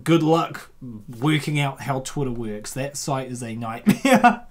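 A woman hosting a comic dub with casual, rambling, excitable reaction commentary. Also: very deep voice, a little slow.

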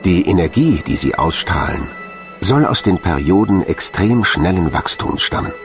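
A man's voice: sits low, animated, normal speed. A man reading scripted documentary narration. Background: music.

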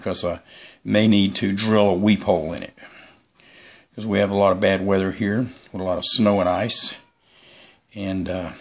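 A man's voice: fairly low pitch, creaky, fairly bright tone. A man talking casually and unscripted as he shows off gear in a vlog, in a rambling, hesitant way. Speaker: YouTuber presenting his radio antennas. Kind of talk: casual unscripted product show-and-tell.

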